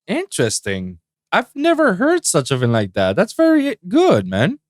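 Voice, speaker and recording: A man's voice: high-pitched, wildly swooping pitch, moderate pace, no room sound, studio quality. A man from Puerto Rico chatting casually as a podcast host, speaking informally and in slang. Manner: informal, says man and bro often. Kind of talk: casual chat.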